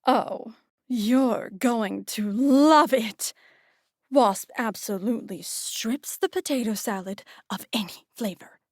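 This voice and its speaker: A woman's voice: on the high side, slow pace, darkish tone, dry recording, studio quality. A woman voicing a chirpy, giggly church lady in an audio drama, gushing and teasing.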